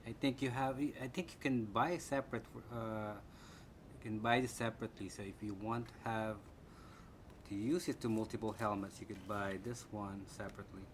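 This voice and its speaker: A man with a Filipino accent giving a casual, halting unboxing commentary, thinking aloud as he goes. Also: lowish voice, fairly even intonation. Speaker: product reviewer. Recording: clear background room noise.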